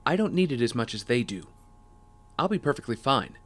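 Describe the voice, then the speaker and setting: A man's voice: mid-range voice, sing-song, a little fast, a bit bright. An actor playing an earnest, apologetic wealthy young man in an audio drama, speaking sincerely and politely.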